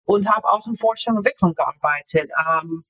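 Woman, 50s, book author as interview guest; halting delivery, hunts for words, bright tone. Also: lowish voice, poor audio. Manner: halting, searching for words with many fillers. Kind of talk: casual interview talk.

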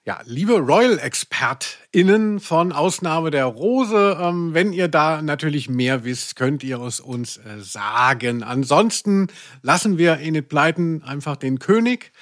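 A male podcast co-host chatting casually and humorously, with halting phrasing and wry asides. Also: on the high side, a bit bright, no echo, studio quality.

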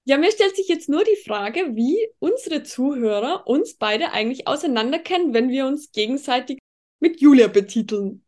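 A woman's voice: sits high, lively intonation, normal speed, darkish tone. A woman with a Tyrolean Austrian accent, strongly rolled R and throaty K, chatting casually as a podcast co-host. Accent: Tyrolean Austrian German.

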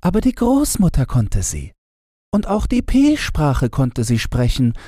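A man's voice: a little high, huge pitch swings, slow pace, mellow, no echo, clean studio sound, heavily acted. A man voicing an innocent, eager little girl in a fairy-tale audiobook, with an earnest, simple manner. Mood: eager, wistful.

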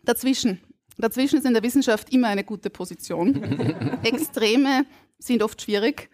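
A woman from Burgenland with an Austrian German accent, a linguist on a live podcast stage, explaining in a measured, academic way. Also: fairly high pitch, expressive, darkish tone. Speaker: guest linguist. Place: stage before a live audience.

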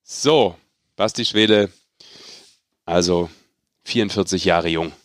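A man's voice: low-pitched, takes it slowly, no echo, clean studio sound. A man hosting a sports podcast in an informal, bantering, chatty way. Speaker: podcast host. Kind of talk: casual sports podcast chat.

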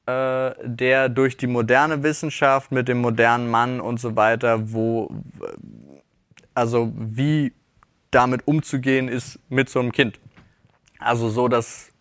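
A man's voice: mid-range voice, level tone, measured pace, a bit bright, no echo, studio quality. A man chatting casually as a podcast co-host, explaining things in a hesitant, self-correcting way. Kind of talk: casual discussion among friends.

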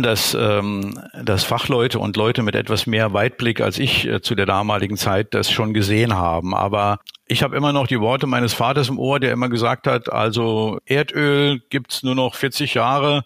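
Older man with a gravelly voice. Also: medium pitch, fairly bright tone, dry recording, studio quality. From Saarland, Germany. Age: 60s. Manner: reflective, frequent hesitations. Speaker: guest, dental professor. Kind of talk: podcast interview.

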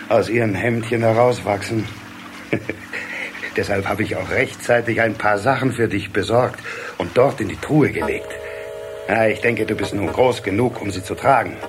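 An actor playing a reserved, proud water-sprite father with a stern edge in a German children's radio play, speaking with hesitant, measured authority. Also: on the low side, background noise, close to an everyday voice. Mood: fatherly, indulgent.

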